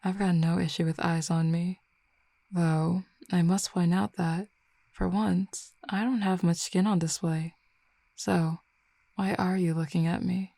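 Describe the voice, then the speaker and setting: A woman playing a flirty, easygoing female werewolf in a roleplay audio drama, relaxed, confident and teasing in an intimate, unhurried conversation. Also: fairly low pitch, vocal fry, no echo.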